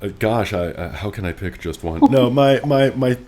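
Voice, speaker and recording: A deep-voiced man co-hosts a casual book discussion. His intonation swings widely as he lays out wordy opinions with theatrical emphasis. Clear noise sits behind his voice. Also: lively intonation, moderate pace, darkish tone. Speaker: podcast co-host. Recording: noticeable background noise.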